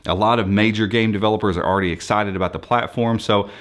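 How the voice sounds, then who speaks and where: A man's voice: lowish voice, fairly even intonation, fast, fairly bright tone. An American man presenting for a tech channel, explaining things to viewers in an enthusiastic, conversational, unscripted way.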